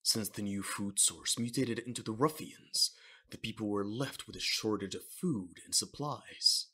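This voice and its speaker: Male narrator for manga audio drama, low register, rough-edged husky tone, dramatic scripted read. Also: unhurried, sharp tone.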